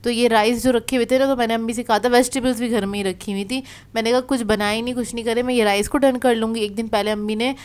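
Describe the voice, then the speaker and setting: Chatty lady vlogger w a sore throat, voice still clear n kinda high, explaining stuff casually. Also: fairly even intonation, quick pace, hardly any pauses, darkish tone. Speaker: vlogger narrating her day. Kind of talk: casual conversational vlog voice-over.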